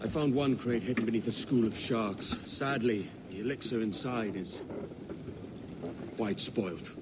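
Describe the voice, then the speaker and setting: A man plays a wry pirate comrade in a video game scene. His voice is middle-pitched, his delivery dry and careful. Noticeable boat and water ambience surrounds it. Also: unhurried, noisy background, close to an everyday voice. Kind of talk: video game cutscene acting.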